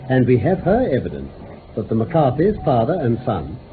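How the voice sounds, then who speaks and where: Precise british gentleman detective, swooping expressive delivery, crackly narrow old radio sound. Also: mid-range voice, normal speed. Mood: explaining. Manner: precise, authoritative, expository. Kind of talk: radio drama acting. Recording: muffled noisy vintage radio recording.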